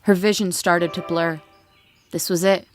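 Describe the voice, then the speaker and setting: A woman serves as narrator of a dramatic fan fiction reading. Her voice is fairly low for a woman. She reads from a script with music playing faintly underneath. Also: steady tone, measured pace. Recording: music bed with birdsong behind.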